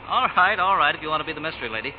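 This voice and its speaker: An actor playing a brash, wisecracking young truck driver, slangy and cocky, in old radio drama style. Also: male voice, a little high, expressive, lightly acted. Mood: cocky, teasing. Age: young adult. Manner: slangy, cocky, quick-tongued. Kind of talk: 1940s radio acting. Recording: muffled, faintly noisy old radio recording.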